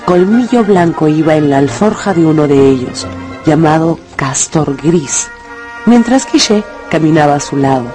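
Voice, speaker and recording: A woman's voice: low-pitched, lively intonation, moderate pace. A woman narrating a dramatised story for radio from a script. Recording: music under the voice.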